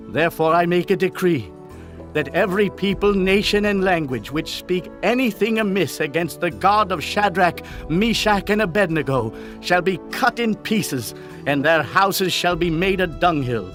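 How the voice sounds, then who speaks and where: A proud old-world king, kinda high voice, big declaring delivery, music playing under it. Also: fairly bright tone. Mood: proclaiming. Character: proud ancient king, commanding and awestruck. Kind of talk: dramatized scripture reading. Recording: clear music bed behind.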